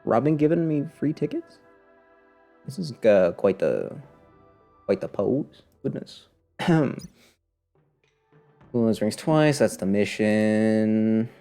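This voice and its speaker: A man's voice: lowish voice, animated, takes it slowly, vocal fry, long pauses, warm tone. A man giving casual live commentary on a video game, talking to himself and his viewers in a wry, sarcastic way. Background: game music.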